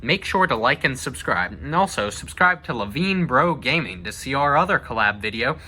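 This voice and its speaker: A man's voice: medium pitch, very animated, normal speed, bright, forceful tone. A young man hosting a casual, conversational chat about games and movies. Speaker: host. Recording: clearly noisy background.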